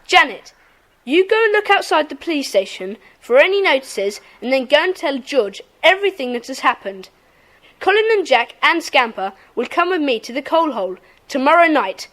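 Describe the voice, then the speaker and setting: A bossy young schoolboy leader in a children's radio-style play. The voice is high and animated, with a brisk, commanding, organised delivery. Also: female voice. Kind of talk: children's audio drama acting.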